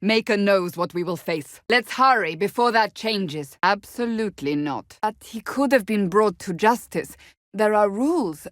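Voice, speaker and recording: A woman's voice: medium pitch, no room sound, clean studio sound, lightly acted. An actress voicing a stern, proud, devout warrior woman in a fantasy video game, with formal, clipped, earnest delivery. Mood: stern, indignant. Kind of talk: dramatic fantasy game dialogue.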